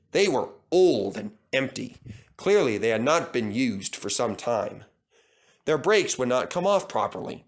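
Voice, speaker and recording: Lively male storybook narrator. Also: fairly high pitch. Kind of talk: storybook reading.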